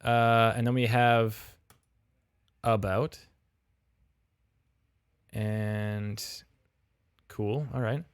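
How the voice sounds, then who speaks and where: A man's voice: on the low side, steady tone, drawn-out words, long pauses, smooth, dry recording, studio quality. A man hosting a livestream, chatting casually and giving frank feedback on game audio reels. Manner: chatty, frank, full of restarts and fillers.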